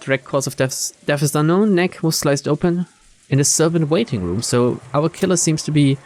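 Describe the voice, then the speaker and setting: A man's voice: fairly high pitch, moderate pace, darkish tone. A man hosting a let's-play, reading game text aloud and commenting casually. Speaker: gameplay host.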